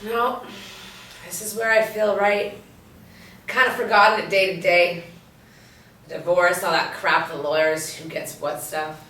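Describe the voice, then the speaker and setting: A woman's voice: lowish voice, fairly even intonation, measured pace, pauses often, pushed and bright. An actress reading the part of a wry, seasoned policewoman and tracker in a screenplay table read, dry and teasing. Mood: reflective. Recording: roomy, echoey, distant mic.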